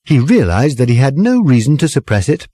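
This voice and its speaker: Guy narrating a funny novel, very lively pitch, measured storytelling, dry studio sound. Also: breathy edge, dry recording. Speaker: audiobook narrator. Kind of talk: scripted comic fiction narration.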